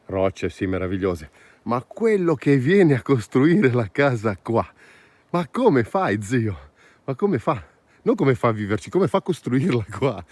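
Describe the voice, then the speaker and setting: A man's voice: medium pitch, big pitch swings, unhurried, darkish tone, dry recording. A man chatting casually and enthusiastically to viewers as an outdoor vlog host. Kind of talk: casual chat to camera. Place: mostly outdoors in mountain valley and woods. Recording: noisy outdoor ambience.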